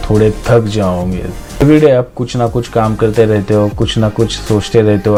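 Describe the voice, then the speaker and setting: A man's voice: on the low side, dark tone. A man giving an informal life-lesson monologue, hesitant and reflective, mixing in English words as he goes. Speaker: motivational storyteller.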